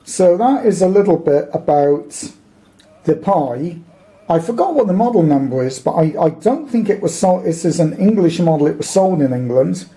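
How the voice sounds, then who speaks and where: A man's voice: on the high side, lively intonation, moderate pace, warm tone. A man talking casually and hesitantly in a small room at home, rambling in a plain-spoken way as he shows off his hobby gear. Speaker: hobbyist presenter. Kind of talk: casual, rambling show-and-tell of a vintage radio. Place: small dim room in a house.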